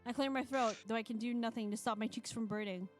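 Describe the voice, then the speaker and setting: A woman's voice: fairly high pitch, fairly even intonation, fast, faint music behind. A woman streamer reading a visual novel aloud, with playful comments and frequent laughs. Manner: playful, laughs between narrated lines.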